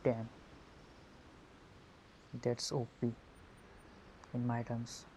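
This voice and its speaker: A man's voice: medium pitch, monotone, extremely slow, darkish tone, slight background noise. A man giving casual, hesitant gameplay commentary as a streamer, his voice murky through an earphone mic. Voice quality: murky, muffled. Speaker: gaming streamer. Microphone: earphone mic on a phone.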